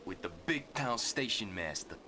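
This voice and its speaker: An actor playing a smug, self-important railway station master who boasts about chess. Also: male voice, lowish voice, very animated, moderate pace, bright, forceful tone, slight background noise. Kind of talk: 1990s adventure game voice acting.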